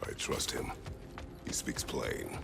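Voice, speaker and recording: A man playing a grim, stoic middle-aged warrior god in a video game, terse and commanding, speaking formally in a gravelly rumble. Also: slow pace, music in the background, natural voice. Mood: calm, firm. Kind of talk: dramatic video game acting.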